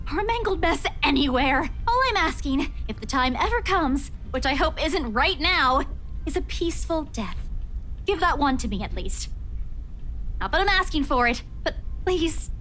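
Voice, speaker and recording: A woman playing a shrill, high-pitched panicky young woman. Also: very animated, normal speed, a bit bright, slight background noise. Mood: indignant. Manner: shrill, pleading, theatrical. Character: panicky, dramatic young woman friend, Isabella.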